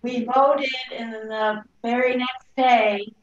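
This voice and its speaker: A woman's voice: fairly high pitch, fairly even intonation, slow. A woman giving an informal report as a board member on a video call from an indoor room. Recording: echoey, muffled video call audio.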